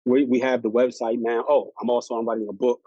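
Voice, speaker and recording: Low-fidelity Philly man, warm and dry. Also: level tone, fast talker, dry recording. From Philadelphia, USA. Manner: rambling, many fillers and restarts. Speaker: guest. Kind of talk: casual personal story. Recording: muffled lo-fi audio.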